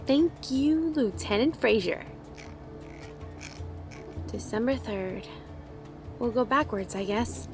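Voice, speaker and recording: A woman's voice: fairly high pitch, lively intonation, takes it slowly, long pauses, over music. A woman playing an earnest, curious agent in an anime parody audio drama, polite and musing. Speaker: voice actor.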